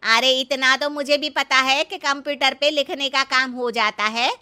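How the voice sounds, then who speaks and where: A woman's voice: sits high, fairly even intonation, a little slow, bright, forceful tone, pitched above the performer's own voice. A woman voicing a naive, talkative, stubborn elderly village mother-in-law in a comic cartoon story, folksy and scolding. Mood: naive, cheerful. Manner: literal-minded, scolding, folksy.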